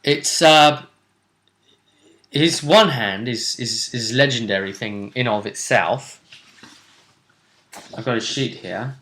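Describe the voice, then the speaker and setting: A man hosting a tabletop role-play show, casually recapping the action in a jokey, theatrical way with drawn-out emphasis. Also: mid-range voice, a bit bright. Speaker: game master and host.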